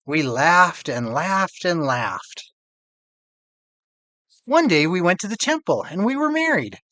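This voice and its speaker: A man plays a loving storybook mom sharing fond memories with her child. The phrasing is tender and drawn out, swinging widely in pitch, in a dry recording. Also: sing-song, slow pace, pushed and bright, dry recording, heavily acted. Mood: fond, joyful. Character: loving mother fondly recalling happy times to her child.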